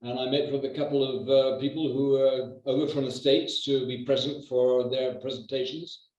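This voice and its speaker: An older man from New Zealand, a real estate agent, talking in a measured way with lots of hesitations. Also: mid-range voice, fairly even intonation, darkish tone. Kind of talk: conversational interview. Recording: echoey distant muffled video call.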